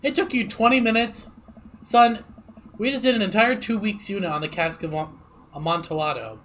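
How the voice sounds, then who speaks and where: A man doing a stern schoolteacher dad, fed up and scolding in a raised, pressing voice. He sits a little high and speaks over a faint hum of room noise in a game stream. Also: moderate pace, fairly bright tone. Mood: incredulous. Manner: scolding, incredulous.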